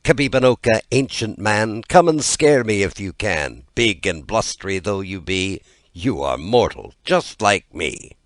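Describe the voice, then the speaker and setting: Man doing a cheeky, animated fearless fisherman. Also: fairly low pitch, measured pace, dry recording. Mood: boastful chanting. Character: laughing, fearless male fisherman with magic powers. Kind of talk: storybook character reading.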